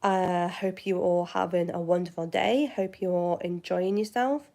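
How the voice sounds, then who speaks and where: A woman's voice: fairly low pitch, fairly even intonation, measured pace, vocal fry, warm tone. A woman from West Yorkshire with a Yorkshire accent, hosting her channel and chatting casually with viewers, rambling with many fillers and restarts. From West Yorkshire, England. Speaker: channel host. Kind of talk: casual chat answering viewers.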